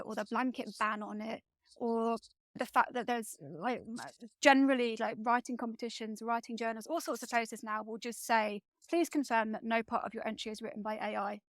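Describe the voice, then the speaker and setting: Higher-voiced woman in thoughtful interview conversation. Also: no echo. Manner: hesitant starts, restating phrases as she reasons. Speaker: guest author being interviewed. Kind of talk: conversational interview, thinking aloud.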